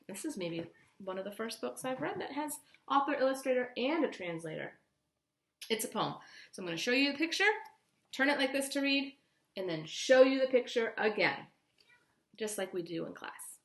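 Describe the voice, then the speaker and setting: A woman reads a children's picture book in a fairly high, very lively voice. She is expressive and deliberate, pausing to explain things simply as a teacher would. The recording is noticeably roomy and echoing. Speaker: teacher reading aloud to children. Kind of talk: picture book read-aloud with asides.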